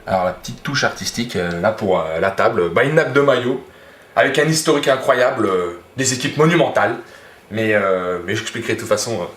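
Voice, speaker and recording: A man in his thirties playing an excitable, football-obsessed dinner host who rambles with lots of fillers. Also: lowish voice, a little fast. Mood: eager. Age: 30s. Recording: roomy, distant camera audio, faint noise.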